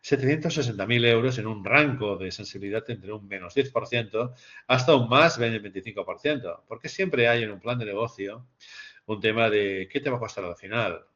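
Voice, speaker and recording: A man's voice: fairly low pitch, moderate pace, a bit bright. A man giving an explanatory lecture over slides, repeating phrases for emphasis and posing rhetorical questions. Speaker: lecturer presenting a business case to master's students. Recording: dull, lo-fi sound.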